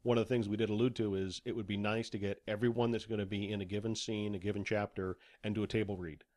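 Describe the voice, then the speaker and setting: A man's voice: on the low side, level tone, fast talker, darkish tone, no room sound. A man talking casually as an author guest in an interview, explaining things with acted-out examples.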